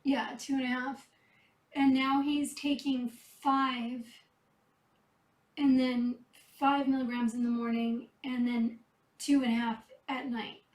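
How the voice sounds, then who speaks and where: A high-pitched woman chats softly about caring for rescue cats, her voice turning a little hoarse. She sits back from the mic. The room around her rings with echo. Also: little pitch movement, slow pace, far from the mic. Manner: chatty, soft-spoken, talks to the cats between remarks. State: losing her voice, a bit hoarse. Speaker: cat rescuer. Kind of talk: casual chat while tending kittens. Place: kitten room indoors.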